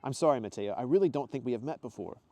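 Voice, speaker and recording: A man's voice: mid-range voice, lively intonation, fast talker, dark tone, voice pushed up, close to an everyday voice. A man voicing a polite, bewildered male newcomer in a storybook reading. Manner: polite, puzzled.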